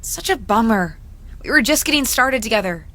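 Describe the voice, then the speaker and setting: A woman's voice: on the high side, expressive, moderate pace, fairly bright tone. An actress playing an eager, plucky young female pro wrestler in a video game cutscene, speaking sincerely. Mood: reflective.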